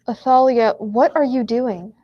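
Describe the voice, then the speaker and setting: A woman's voice: fairly high pitch, measured pace, highs cut off. A woman playing a cautious, worried young scientist in an amateur radio play, earnest and warning. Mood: alarmed, pleading.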